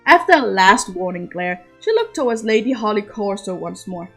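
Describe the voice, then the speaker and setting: A woman's voice: mid-range voice, very animated, normal speed, slightly rough. Woman reading a story, music behind. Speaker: narrator, amateur fiction reader. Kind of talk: scripted story narration.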